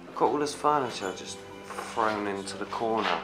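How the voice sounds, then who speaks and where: A man exploring an abandoned house, talking casually and excitedly. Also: lowish voice, moderate pace, low-quality recording. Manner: excited, exclamatory. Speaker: urban explorer. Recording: music underneath. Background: background music.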